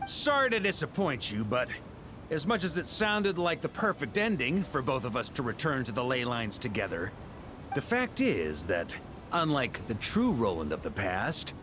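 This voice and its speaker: A man's voice: fairly high pitch, very animated, a little slow, noisy background. A man playing a bold, wry knight warrior in a video game, with resolute, theatrical delivery. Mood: cocky, amused. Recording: loud music behind the voice.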